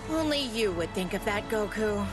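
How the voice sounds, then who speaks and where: A woman voicing a clever, confident, take-charge character in an anime video game, expressive, with music behind. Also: medium pitch, normal speed, lightly acted.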